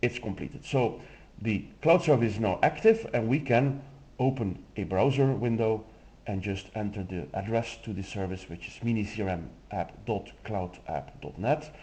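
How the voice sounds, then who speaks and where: A man whose first language is Dutch, explaining a software demo step by step in a relaxed way with some fillers. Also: fairly low pitch, normal speed. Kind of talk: screen-recorded software walkthrough.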